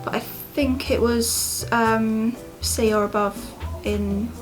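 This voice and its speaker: A young English woman speaks slowly and unhurriedly in a fairly high voice, easing into her answers with fillers. Light background music plays under her interview. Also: fairly even intonation, low-quality recording. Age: 20s. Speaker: apprentice assistant quantity surveyor.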